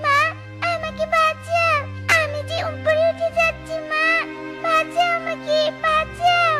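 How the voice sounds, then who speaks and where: A panicked little girl bird cries out in a piercing, very high voice, with a Bengali accent, as a woman acts her out. Loud children's music plays throughout this cartoon scene. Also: little pitch movement, slow pace. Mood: terrified. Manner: pleading, crying for help.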